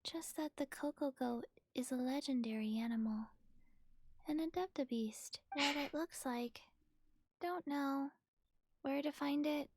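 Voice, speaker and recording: A woman voicing a small, forgetful zombie girl in a video game, speaking in flat, terse, halting sentences. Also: high-pitched. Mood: deadpan, sleepy.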